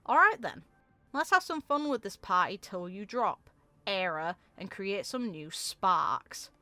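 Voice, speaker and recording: A woman acts out a cheeky young female streamer from a game story, bright and perky. She is theatrical and teasing, eager for attention, in a dry, close recording. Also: medium pitch, expressive, unhurried, no room sound, faint music behind. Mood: showy.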